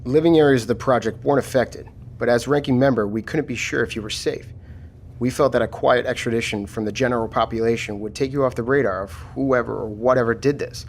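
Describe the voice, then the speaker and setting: A man playing a steady, matter-of-fact military lieutenant taking charge after a disaster in an audio drama. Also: mid-range voice, a little fast, slight background noise, natural voice. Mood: measured, resolute.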